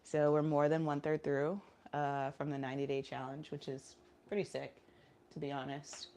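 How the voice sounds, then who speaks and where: A woman chatting casually in a vlog, low on energy and nasal from a cold, rambling with lots of fillers and talking through squats. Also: level tone, normal speed, darkish tone, slight background noise. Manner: talking while doing squats. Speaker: vlogger. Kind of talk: casual chat vlog, streaming live.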